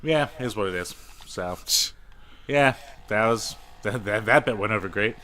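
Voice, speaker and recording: A man's voice: on the low side, moderate pace, pushed and bright, a little hiss or hum. A man co-hosting a podcast, bantering casually about games in a profane, self-mocking way. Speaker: podcast co-host.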